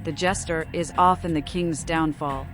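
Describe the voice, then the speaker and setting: A woman's voice: sits low, steady tone, normal speed, lightly acted. An actress voicing a mad female vampire seer in a video game, theatrical and cryptic. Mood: cryptic, knowing. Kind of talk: video game protagonist voice-over. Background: music.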